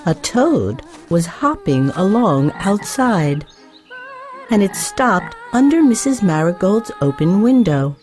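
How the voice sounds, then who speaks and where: A woman storyteller reading a scripted children's tale with measured, careful phrasing for young listeners. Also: mid-range voice, very animated, darkish tone, soft background music.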